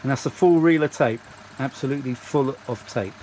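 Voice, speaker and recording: British male hobbyist presenter, mid-pitched, informal and rambling, with muttered asides; noisy room recording. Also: brisk, a little mellow. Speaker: vintage audio hobbyist and video host. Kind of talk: casual hands-on demonstration chat.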